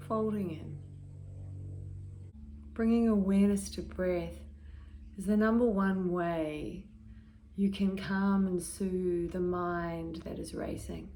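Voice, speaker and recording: A woman guides a meditation in a fairly low voice, speaking very slowly. Her delivery is gentle and measured, with long pauses between short phrases and soft, breathy endings. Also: a little hiss or hum, low-quality recording. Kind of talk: guided meditation, slow and soothing.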